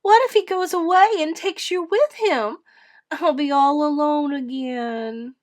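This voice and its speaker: A woman's voice: high-pitched, big pitch swings, slow pace, voice pushed up, full character voice. A woman voicing an emotional, lonely little girl from a storybook in an earnest, childlike manner. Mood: tearful, scared.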